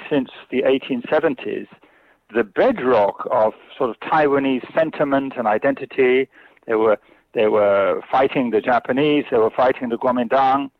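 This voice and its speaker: A man's voice: mid-range voice, dry recording. A man answering interview questions as a guest commentator, hesitant, with many fillers and restarts. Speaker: China specialist guest. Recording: tinny phone line.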